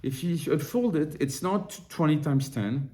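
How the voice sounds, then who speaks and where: A man teaching a study group on a religious text in an informal lesson. He often restarts and repeats words, then turns more animated as he works through a passage. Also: on the high side. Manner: halting, restarts and repeats words while explaining. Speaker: Torah teacher.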